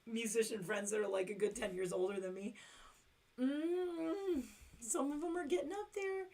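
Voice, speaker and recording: A middle-aged woman hosting a long casual livestream, hoarse and losing her voice, rambling with lots of fillers. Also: medium pitch, a little mellow. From Detroit. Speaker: livestream host.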